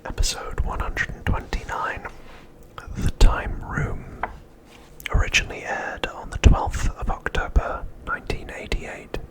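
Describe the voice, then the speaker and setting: A man hosts an ASMR recap entirely in a whisper. His delivery is breathy and unvoiced, set out in deliberate phrases with short pauses between them. It has the feel of quietly read narration. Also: rough recording. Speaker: ASMR host.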